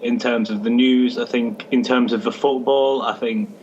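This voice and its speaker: A British man on a football chat show, talking in a monotone and hesitating often. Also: mid-range voice, moderate pace, dull audio, slight background noise. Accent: British English. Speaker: show regular, social media head.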